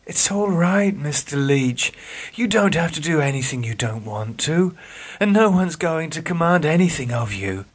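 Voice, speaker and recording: Man putting on a calm kind nurse, soothing, slightly raised pitch, slow. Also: slightly rough, heavily acted. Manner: soothing, gentle.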